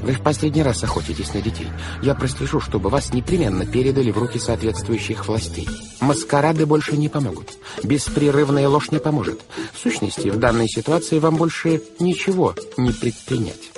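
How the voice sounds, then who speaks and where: A man's voice: medium pitch, big pitch swings, fast, hardly any pauses, over music, pitched above the performer's own voice, close to an everyday voice. A man voicing a stern, officious, flustered guardian in an audiobook, pompous and emphatic as he gives orders. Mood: stern, indignant. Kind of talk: theatrical audiobook character acting.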